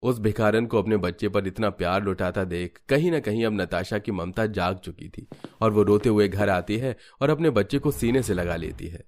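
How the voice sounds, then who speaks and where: A man's voice: on the low side, moderate pace. A man narrating a scripted family story as a storyteller.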